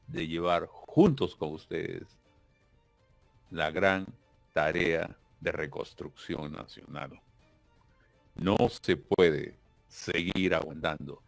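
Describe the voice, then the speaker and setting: Man, Peruvian Spanish accent, very low voice, gravelly, slow, dry close sound. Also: no room sound. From Peru. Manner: solemn, emphatic, repeats words while searching. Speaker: political candidate. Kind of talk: campaign interview speech.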